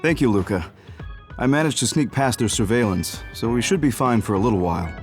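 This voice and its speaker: An actor playing a composed, secretly rebellious major general in a video game, speaking in a measured, formal and authoritative way. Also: male voice, medium pitch, animated, faint music behind, natural voice. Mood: confiding, resolute.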